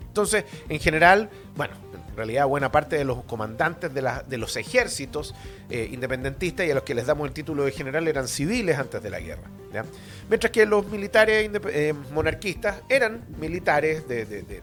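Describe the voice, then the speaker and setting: High-pitched, blunt Chilean man on radio. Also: big pitch swings, fairly quick, a bit bright, soft background music. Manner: opinionated, blunt, colloquial, with rhetorical questions and tag questions. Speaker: radio history show host, academic. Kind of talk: informal history lecture on radio.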